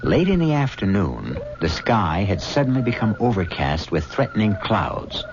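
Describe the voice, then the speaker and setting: An older man hosting and narrating a 1970s radio drama in a measured, grave, theatrical way. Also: lowish voice, very animated, background noise. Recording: dull old radio recording with music.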